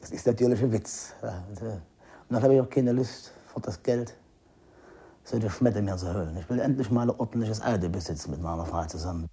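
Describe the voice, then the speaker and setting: A man's voice: lowish voice, a little fast, mellow, breathy edge. A man in his forties from East Germany, grumbling colloquially in a spontaneous personal account. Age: 40s.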